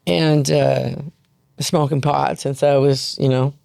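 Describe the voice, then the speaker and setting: A woman's voice: very deep voice, flat delivery, slow pace, gravelly, dark tone, no room sound, studio quality. A woman in her fifties from Seattle with an American accent, talking candidly and matter-of-factly as a podcast guest. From Seattle, United States. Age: 50s. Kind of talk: casual interview, personal storytelling.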